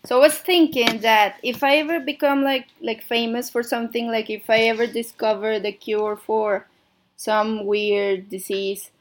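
A woman's voice: a little high, fairly even intonation, measured pace. A young Mexican woman who speaks Spanish natively, with a mixed Mexican and American-tinged accent, hosting a casual radio show and rambling with lots of "like" and "I don't know". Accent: Mexican, mixed with American English.

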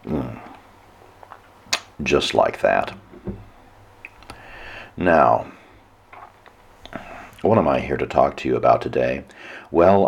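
Very deep, gravelly hoarse man. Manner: measured, lecturing with dry asides. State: hoarse, nearly lost voice. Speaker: radio show host. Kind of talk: solo radio talk monologue.

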